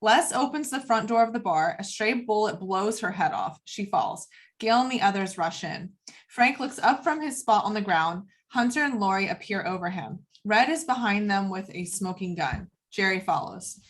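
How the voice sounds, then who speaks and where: Woman narrating screenplay action, middle pitch. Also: lively intonation, normal speed. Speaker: table read narrator. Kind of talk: reading screenplay action lines.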